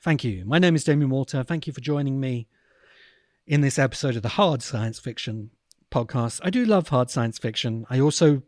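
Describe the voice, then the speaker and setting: Guy doing a thoughtful podcast essay, dry close sound, earnest, pauses for emphasis, mid pitch. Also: lively intonation, darkish tone, dry recording. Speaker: podcast host and video essayist. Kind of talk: reflective spoken commentary.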